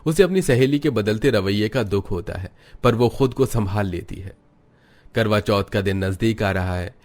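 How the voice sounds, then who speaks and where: A man's voice: lowish voice, moderate pace, a little mellow. A man reading scripted narration for a moral cartoon story.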